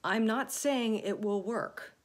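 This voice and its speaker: A woman's voice: on the high side, slow pace, voice pushed up. A woman voicing a thoughtful, hesitant young boy. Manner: hesitant, careful.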